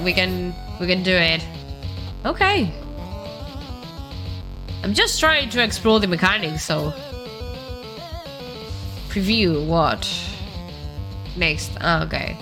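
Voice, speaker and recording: A woman's voice: mid-range voice, lively intonation, unhurried, pauses often. A woman with a cold hosting a let's-play, chatting casually and thinking aloud as she plays. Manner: chatty, thinks aloud while playing, hums along. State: has a cold. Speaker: gaming video host. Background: game music.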